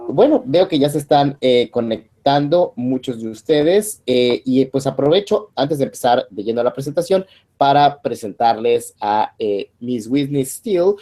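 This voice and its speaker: Mellow-voiced man. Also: mid-range voice. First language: Spanish. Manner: hesitant, many fillers and restarts. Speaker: webinar host. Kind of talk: hosting an online info session.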